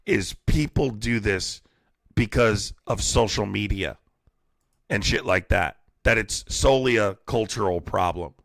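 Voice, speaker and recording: A man's voice: fairly low pitch, a little slow, creaky, fairly bright tone, no room sound. A young man hosting call-in debates on a live stream, exasperated and mocking, who says he is losing his voice.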